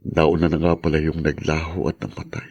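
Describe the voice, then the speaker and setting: A man's voice: extremely low pitch, level tone, brisk, darkish tone, husky, dull audio, full character voice. An actor playing an embittered, despairing man long in prison, in melodramatic radio drama style. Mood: bitter, resigned. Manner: brooding, anguished.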